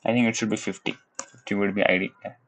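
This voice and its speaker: A young man with a Nepali accent talks through his coding live, in a fairly low, easygoing voice. He mutters to himself, chuckles, and fills pauses with hummed la-la tunes. He sits close to a desk mic. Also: level tone, fairly bright tone, low-quality recording. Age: young adult. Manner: thinks aloud, hums la-la while working; laid-back, chuckles, switches into Nepali. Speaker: livestreamer learning web coding. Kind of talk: casual live coding commentary.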